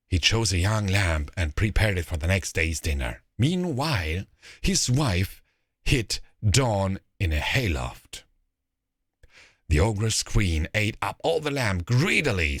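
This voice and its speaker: Male fairy tale narrator, slow and expressive, recorded dry and close. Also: lowish voice, no echo. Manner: deliberate, expressive phrasing. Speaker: storyteller.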